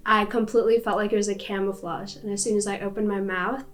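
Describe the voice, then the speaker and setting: A woman's voice: medium pitch, little pitch movement, fast talker. A young woman with an American accent telling a personal story in a chatty, casual way, with many fillers. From United States.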